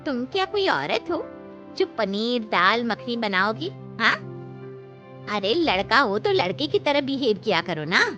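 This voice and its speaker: A woman's voice: fairly high pitch, big pitch swings, unhurried, dull audio, music in the background. A woman voicing a fussy, grumbling older mother-in-law in an exclamatory cartoon story style. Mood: scolding. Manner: exclamatory, scolding. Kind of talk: cartoon moral-story acting.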